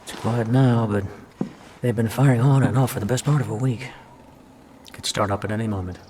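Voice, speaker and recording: A man's voice: fairly low pitch, steady tone, fairly quick, close to an everyday voice. An actor playing a practical, understated male soldier ally giving a briefing in a war game. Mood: wary, practical. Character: matter-of-fact male wartime ally briefing a sniper. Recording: distant voice with faint ambient noise.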